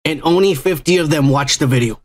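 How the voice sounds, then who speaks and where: A man's voice: on the high side, brisk. Loose, profane man hosting a podcast. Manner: loose, profane, rambling banter. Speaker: podcast host. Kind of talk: casual podcast chat.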